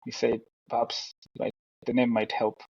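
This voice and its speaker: A young man from Uganda, a film director, talking casually and haltingly with many restarts on a headset during a video call. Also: mid-range voice, creaky, breathy edge, no echo. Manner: relaxed, halting, self-deprecating with restarts. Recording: dry, dull lo-fi call audio.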